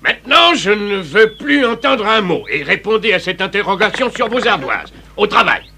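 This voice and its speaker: Stern schoolmaster, cartoon, high animated voice, scolding, theatrical, music behind. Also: male voice, moderate pace, exaggerated voice. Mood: strict. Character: stern, exasperated male schoolteacher. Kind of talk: French cartoon dub acting.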